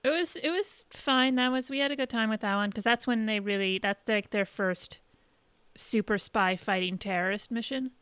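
A woman podcast co-host chatting casually in a halting, conversational way, recapping what she has been watching. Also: a little high, fairly bright tone, smooth, no room sound. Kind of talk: casual chat, recapping shows and films.

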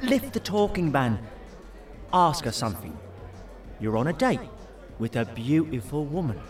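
A man's voice: fairly high pitch, very animated, a little slow, distant mic, faint music behind. An actor playing the mouthy, berating, panicky inner voice of an awkward British man in an audio drama. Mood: scolding. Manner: sweary, berating.

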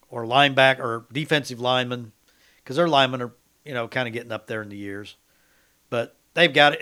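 A man's voice: mid-range voice, sharp tone. An American man co-hosting casual sports talk radio, blunt and opinionated.

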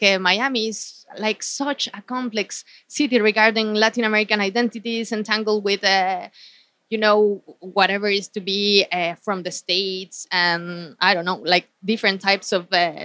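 A woman with a mid-pitched voice moderates a panel as a non-native English speaker. She muses and asks open questions informally. The sound is dry, with little room echo. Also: bright, forceful tone. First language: not English, a non-native English speaker.

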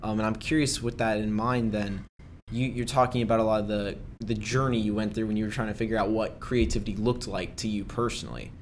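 Thoughtful low-voiced male interviewer. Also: steady tone, a little hiss or hum. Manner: thoughtful, halting with restarts. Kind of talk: casual interview questions.

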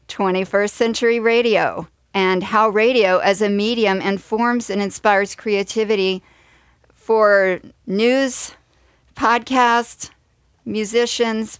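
A woman's voice: mid-range voice, smooth, dry recording. A woman hosting a radio show from a studio, introducing segments and interviewing guests.

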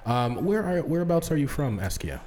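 A man co-hosting a podcast, chatty and jokey in a lively way. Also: a little high, brisk. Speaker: podcast co-host. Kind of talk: casual chat.

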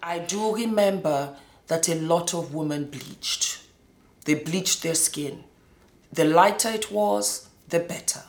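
A middle-aged woman with a fairly low voice recounts her own life in a roomy, echoing space. She speaks with emphasis, often repeating words to drive them home, in the manner of a personal testimony. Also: slow. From Nigeria and Scotland. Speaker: former model telling her life story. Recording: roomy, echoing indoor sound.

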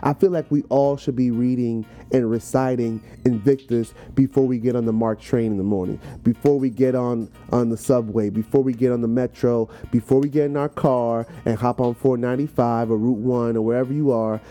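A man's voice: medium pitch, steady tone, normal speed, hardly any pauses, mellow, faint music behind. An American man hosting a podcast, giving an informal, emphatic motivational talk about what words mean, repeating phrases to drive them home. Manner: conversational, informal. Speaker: podcast host. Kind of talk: motivational talk explaining a poem.

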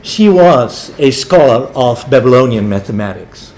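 A man's voice: mid-range voice, animated, unhurried, darkish tone, a little hiss or hum. A Dutch-born man who speaks American English, giving a hesitant vote of thanks with many ums and uhs. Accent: American English, Dutch first language. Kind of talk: informal spoken thanks.

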